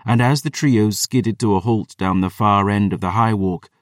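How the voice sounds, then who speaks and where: A man's voice: lowish voice, moderate pace, no room sound, clean studio sound, recorded from a distance, lightly acted. A man voicing a proud, battle-hardened female vampire warrior, telling of battle in an intense, grave, theatrical way. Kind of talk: dramatic first-person storytelling.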